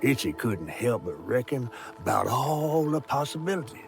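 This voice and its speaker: An actor with a Southern US accent playing a folksy storyteller, narrating a dark, sorrowful tale with drawn-out, dramatic phrasing. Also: male voice, mid-range voice, very animated, creaky. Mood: somber, folksy. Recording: music under the voice. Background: music.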